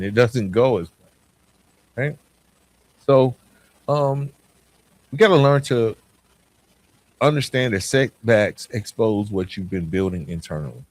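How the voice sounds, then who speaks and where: A man's voice: medium pitch, low-quality recording. A man hosting a podcast, speaking to listeners in an emphatic, conversational motivational monologue. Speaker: podcast host.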